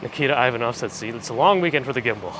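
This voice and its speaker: A man hosting a live walking stream, chatting casually and reading viewer comments with dry asides; his voice is a little phlegmy and gravelly. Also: medium pitch, expressive, very fast. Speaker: livestream host. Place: walking outdoors on city streets. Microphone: handheld gimbal camera rig with recorder. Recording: street noise behind the voice. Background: traffic and city ambience.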